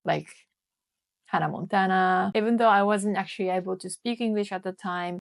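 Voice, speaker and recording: A woman in her twenties from Japan, speaking English with a Japanese accent in a calm, reflective voice-over for her vlog. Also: mid-range voice, dry recording, studio quality. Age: 20s. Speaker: vlogger. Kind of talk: scripted voice-over narration.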